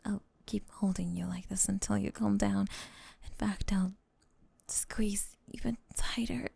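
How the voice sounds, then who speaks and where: Female performer as clingy lamia girlfriend, doting and controlling, coaxing half-whisper, creaky edge, mellow tone, ASMR roleplay. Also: mid-range voice, steady tone, unhurried, no echo, heavily acted. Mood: tender, controlling. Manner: intimate, coaxing, often whispering. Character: possessive, doting yandere lamia girlfriend, sweetly menacing.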